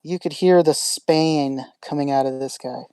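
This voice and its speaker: A man's voice: on the high side, steady tone, measured pace, darkish tone, smooth, no room sound. A man co-hosting a podcast, talking casually and restarting his sentences often. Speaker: podcast co-host. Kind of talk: casual chat.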